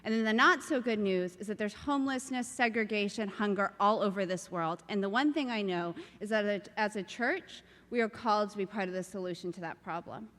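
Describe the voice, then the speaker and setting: A woman's voice: mid-range voice, fairly quick, hardly any pauses, fairly bright tone. A woman giving an earnest, emphatic speech to a church convention. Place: large cathedral. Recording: long hall reverb.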